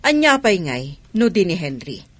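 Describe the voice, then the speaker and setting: An actress with an Ilocano accent playing a sharp-tongued female friend in a radio drama. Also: on the low side, sing-song, moderate pace, no echo. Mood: exasperated.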